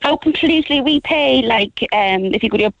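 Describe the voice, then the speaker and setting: A woman from Belfast with a Belfast accent, a campaign organiser calling in to a radio chat show, talking emphatically and jumping up in pitch on her points. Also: normal speed, gravelly, dry recording, muffled recording. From Northern Ireland. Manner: lively, emphatic, pitch jumps up when stressing points. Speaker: caller, back-to-school campaign organiser.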